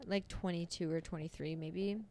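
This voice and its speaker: A woman in her twenties co-hosting a podcast, telling stories in a casual, profane and animated way. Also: on the low side, normal speed, vocal fry, no echo. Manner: profane, animated storyteller who acts out quotes. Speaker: podcast co-host. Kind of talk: casual chat, storytelling.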